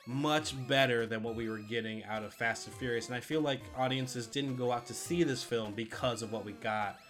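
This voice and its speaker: A man's voice: mid-range voice, moderate pace, fairly bright tone, faint music behind. A man co-hosting a podcast in casual film discussion, chatty and loosely profane. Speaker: podcast co-host.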